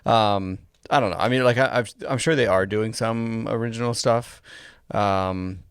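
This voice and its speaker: A man's voice: lowish voice, steady tone, moderate pace, clean tone, no echo, studio quality. A man co-hosting a podcast, giving casual commentary in a tentative, reflective, hesitant way. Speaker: podcast co-host.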